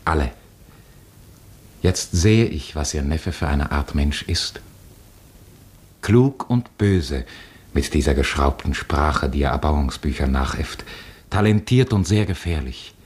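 A radio drama priest, really low husky voice, solemn and gentle. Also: male voice, lively intonation, slow pace, long pauses, full character voice. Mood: solemn, earnest. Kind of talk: German radio play acting.

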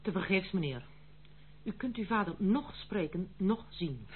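A woman's voice: medium pitch, expressive, measured pace, natural voice. An actress in a radio play playing a strong-willed young noblewoman, formal, firm and reproachful. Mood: firm, stern. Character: strong-willed, stern young noblewoman protecting her household. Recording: tinny, phone-like old radio play, slight hum.

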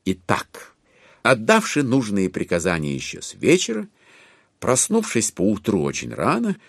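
A man narrating a classic novel as a theatrical audiobook, in a wry, expressive way with deliberate pauses. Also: fairly low pitch, creaky, dry recording, clean studio sound.

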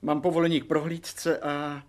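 An actor playing a formal, officious police investigator in a radio drama, stiff and officially polite. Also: male voice, a little high, flat delivery, lightly acted. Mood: formal, apologetic.

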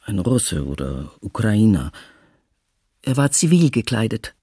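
A woman playing an elderly survivor recalling her childhood ordeal, husky and hesitant, in a documentary radio drama. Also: a bass voice, big pitch swings, unhurried, warm tone, dry recording, clean studio sound, heavily acted. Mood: quiet, reflective. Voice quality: husky, gravelly.

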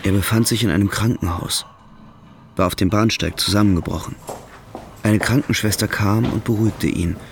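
Low, creaky male narrator. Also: moderate pace, slight background noise. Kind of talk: scripted radio drama narration.

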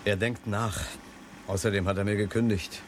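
An actor playing a boss's employee who answers evasively and deadpan in a radio play. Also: male voice, lowish voice, moderate pace, a little hiss or hum, close to an everyday voice. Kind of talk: 1970s German radio play acting.

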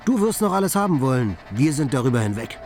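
An actor playing a talkative, whimsical city flâneur with florid, playful phrasing in a radio play. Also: male voice, medium pitch, big pitch swings, fast, a little mellow. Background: music.

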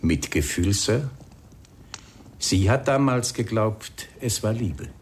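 A man playing a polite, persistent police inspector in a radio crime drama, speaking in a formal, measured way. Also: fairly low pitch, animated, a little hiss or hum. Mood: grave, reproachful. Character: polite, persistent male police inspector breaking grim news. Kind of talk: radio play acting.

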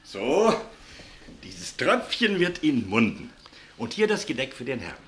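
An innkeeper in a radio play. His medium-pitched voice swings very widely in melody. He is obliging and a touch servile with his guests. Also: wildly swooping pitch, a little slow, background noise. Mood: hospitable. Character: obliging innkeeper of a riverside tavern. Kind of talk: German radio drama acting.

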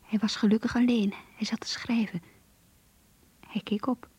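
A woman's voice: on the high side, fairly even intonation, moderate pace, no room sound. An actress playing a young woman in love, reading her diary in a radio drama, intimate, yearning and earnest. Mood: nervous, expectant. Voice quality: breathy, whispery. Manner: intimate, hushed, confiding.

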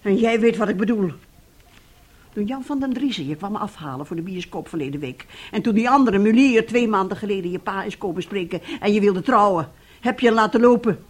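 An actress playing a domineering, bitter middle-aged mother in a radio play, husky and sharp-tongued. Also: medium pitch, animated, a little fast, natural voice. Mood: indignant, scolding. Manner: scolding, sharp-tongued, self-righteous.

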